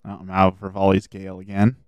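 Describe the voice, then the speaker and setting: A man talks his way through a game on stream, rambling and thinking aloud. His voice sits a little low and stays smooth even though it is slightly hoarse. The sound is dry and close, with no room echo. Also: fast talker. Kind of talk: casual gameplay commentary.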